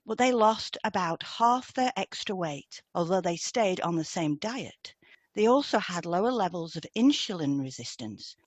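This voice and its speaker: A British woman co-hosting an educational podcast, reading scripted explanations in a measured way. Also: on the low side, dry recording, clean studio sound. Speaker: podcast co-host and hypnotherapist.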